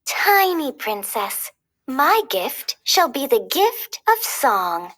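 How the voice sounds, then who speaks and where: A woman voicing a kindly fairy godmother in a storybook, speaking in a gentle, sweet singsong. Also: sits high, big pitch swings, slow pace, heavily acted. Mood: sweet, tender. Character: kindly good fairy godmother blessing a baby princess.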